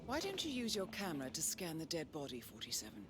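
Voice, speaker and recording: An actress playing a composed, dryly witty handler guiding an assassin in a video game voice-over. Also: female voice, sits low, huge pitch swings, fast talker, noisy background. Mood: composed, wry. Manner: poised, dry.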